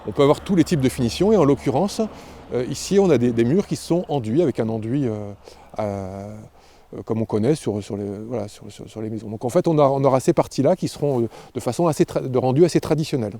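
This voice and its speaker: Mellow, very soft-toned man explaining. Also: mid-range voice. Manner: halting, hesitant, repeats words while explaining. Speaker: architect presenting a building project. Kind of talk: spontaneous guided-tour explanation.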